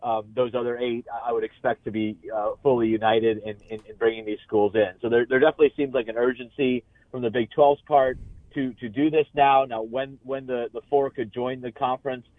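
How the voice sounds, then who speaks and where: A man comes through on a dull, band-limited line, dry and free of room sound. He speaks as a sports journalist guesting on a radio show, halting and repeating words as he lays out his analysis. His pitch sits in the middle for a man. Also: steady tone, no echo. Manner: halting, repeats words, frequent "you know". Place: remote call into a radio show.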